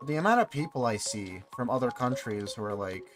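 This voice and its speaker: A man in his twenties rambling along as he live-streams a game, talking casually and swearing now and then. His voice sits at an ordinary middle pitch, with game music playing clearly behind him. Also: lively intonation, a little fast. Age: 20s. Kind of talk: casual livestream chat while playing.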